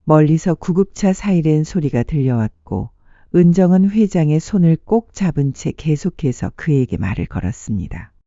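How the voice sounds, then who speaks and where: A narrator reading a dramatic story in a measured, sentimental storytelling manner. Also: mid-range voice, sing-song, mellow, no room sound, muffled recording. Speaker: audiobook narrator.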